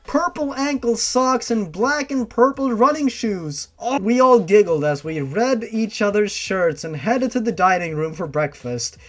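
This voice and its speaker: A man giving a theatrical, exaggerated comedic reading of fan fiction as narrator, breaking in with his own asides. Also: very high-pitched. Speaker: story reader.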